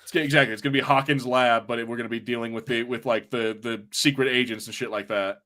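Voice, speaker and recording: A man with a medium-pitched voice discusses pop culture as a podcast co-host. He rambles casually and haltingly, leaning heavily on "like" as he works through his point. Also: fairly even intonation, sharp tone. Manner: rambling, halting, heavy use of "like".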